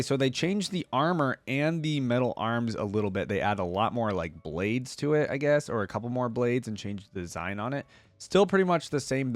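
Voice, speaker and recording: A man's voice: fairly low pitch, dry recording. A man hosting a reaction video, giving informal, chatty commentary and thinking aloud as he goes. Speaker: YouTube reaction host.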